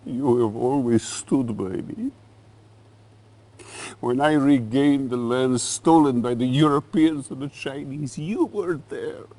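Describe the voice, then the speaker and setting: A man playing a paranoid, menacing middle-aged Soviet dictator in a game cutscene, theatrical and deliberate. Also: mid-range voice, dark tone. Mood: solemn, grateful. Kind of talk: dramatic game cutscene acting.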